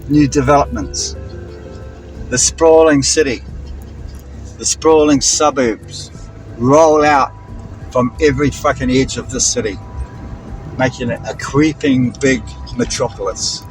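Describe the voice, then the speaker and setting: A man with a New Zealand accent talks in a loose, rambling way from inside a moving car. He is blunt and sweary, and his voice sits in a middling range. He is a little off the mic, and loud music plays clearly behind him. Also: medium pitch, unhurried, pauses often, far from the mic, low-quality recording. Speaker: vlogger. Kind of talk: rambling driving vlog chat.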